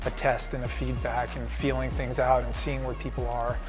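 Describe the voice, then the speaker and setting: A man's voice: medium pitch, flat delivery, normal speed, music in the background. A man who works as a strength and conditioning coach, explaining his approach in an upbeat interview. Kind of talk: documentary interview.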